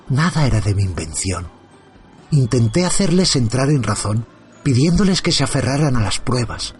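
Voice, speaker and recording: Un hombre interpreta a un padre atormentado que narra un relato de terror en primera persona, con tono sombrío, medido y dramático. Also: male voice, medium pitch, natural voice. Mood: anguished, then desperate, insistent. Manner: somber, measured, dramatic. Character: tormented father haunted by evil, telling his story. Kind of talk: first-person horror narration.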